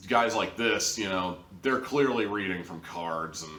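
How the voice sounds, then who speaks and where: Low-voiced young man in an echoey room. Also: measured pace, sharp tone. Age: young adult. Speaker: reviewer, show host. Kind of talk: casual review monologue to camera. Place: small living room, indoors. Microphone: camera a few feet away. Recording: roomy echo, distant camera mic.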